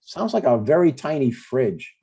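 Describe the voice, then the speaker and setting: Man playing a mellow, husky older dad. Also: medium pitch, big pitch swings, moderate pace, full character voice. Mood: stubborn. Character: chatty, breezy, stubborn older father, a church deacon. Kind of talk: comedy script table read, naturalistic.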